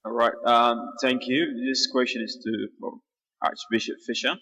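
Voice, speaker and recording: An earnest, deliberate man asking a personal question from the floor at a public debate. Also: medium pitch, steady tone, bright, forceful tone, husky. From born in Ethiopia. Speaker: audience questioner. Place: large town hall. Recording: echoey large hall.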